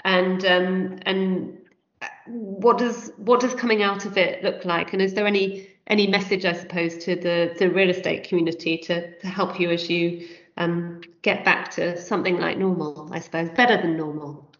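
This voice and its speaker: A woman's voice: on the low side, steady tone, moderate pace, roomy sound, highs cut off. A woman hosting an interview, asking long chatty questions with some rambling.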